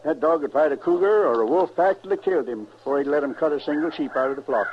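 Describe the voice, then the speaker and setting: An actor playing a folksy, hardy old sheep farmer with a rural Western American drawl in a radio drama. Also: male voice, a little high, quick pace, lightly acted. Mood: proud, earnest. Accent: rural American West. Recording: dull, noisy old radio recording.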